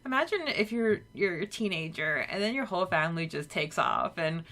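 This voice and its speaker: Low-voiced woman in casual podcast chat. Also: expressive, fairly quick, a bit bright. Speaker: podcast co-host. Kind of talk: casual conversational reminiscing.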